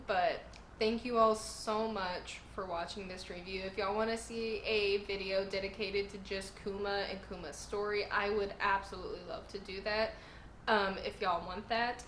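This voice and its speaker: A woman's voice: medium pitch, fairly bright tone, slight background noise. Woman host, sore-throated and emotional, reviewing from a roomy space. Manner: earnest and emotional, gushing. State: sore throat, voice sounding strained. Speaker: channel host reviewing manga chapters. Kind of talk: casual video review.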